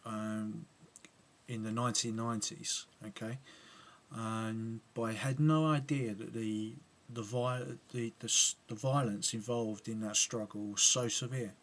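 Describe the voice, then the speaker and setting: A man's voice: on the low side, steady tone, a little slow, fairly bright tone. A man with an English accent giving a spoken video reply, hesitant and full of ums and restarts. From England. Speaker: video blogger replying to another video. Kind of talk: casual spoken commentary to camera.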